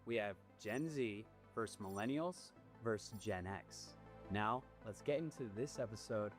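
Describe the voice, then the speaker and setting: A man's voice: on the low side, unhurried. Male debate show host over faint music. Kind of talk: scripted show introduction.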